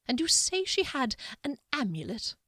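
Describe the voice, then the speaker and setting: A sly male wizard, put on by a woman reading aloud, speaks in a slow, smug drawl. His calm carries quiet menace. The recording is dry and close. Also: high voice, no echo, pitched above the performer's own voice, full character voice. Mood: cold.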